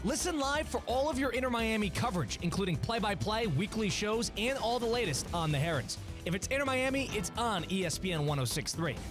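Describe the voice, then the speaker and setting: Male sports station promo voice, high pitch, over music. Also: animated, a little fast. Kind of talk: scripted radio promo.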